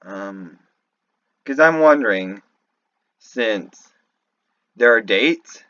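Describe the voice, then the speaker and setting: Guy rambling through game theories off the cuff, halting, dry muffled lo-fi mic. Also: lowish voice, sharp tone, dry recording. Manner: rambling, halting, thinking aloud. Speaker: YouTube commentator. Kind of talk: casual off-the-cuff game lore analysis.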